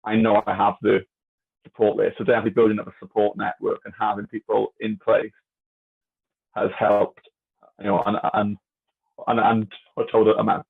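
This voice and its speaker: Male guest with a stutter: low, husky, flat delivery over a thin, phone-like line. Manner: earnest, halting, open. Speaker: interview guest. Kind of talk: reflective casual talk.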